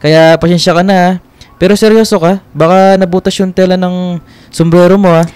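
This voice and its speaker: A man's voice: high voice, flat delivery, fairly quick, darkish tone, clear, resonant tone, no echo, natural voice. A man playing a polite, shy and friendly young Filipino man in a radio love drama, hesitant and apologetic.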